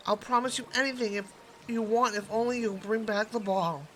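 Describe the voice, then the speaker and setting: A spoiled young princess of storybook kind, played by a woman reader. She sounds petulant and dramatic, with a disdainful streak, over clearly audible music. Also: fairly high pitch, fairly even intonation, normal speed, fairly bright tone, a little hiss or hum, natural voice, poor audio. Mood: eager.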